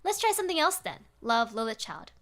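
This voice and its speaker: An obsessive stalker fan, played by a woman reading a horror story, taunts in a high, sing-song voice. The manner is smug and teasing, pushing with playful menace. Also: big pitch swings, brisk, fairly bright tone. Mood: teasing, pushy.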